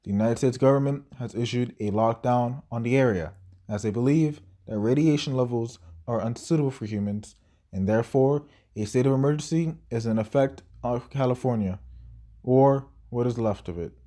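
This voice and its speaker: A man's voice: medium pitch, moderate pace, dark tone, close to an everyday voice. A man playing a local TV news anchor in an audio drama, with a formal announcer delivery. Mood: composed. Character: local TV news anchor, male, composed then shaken.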